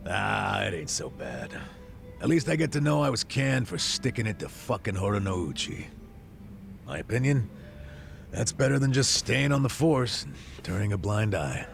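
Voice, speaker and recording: Gravelly, weary older ex-cop. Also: male voice, on the low side, very animated, moderate pace, soft background music, heavily acted. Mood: matter-of-fact, gruff. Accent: American. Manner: blunt, casual, salty-tongued. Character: gruff, world-weary older ex-cop, blunt but soft-hearted. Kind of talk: English video game dub acting, conversational.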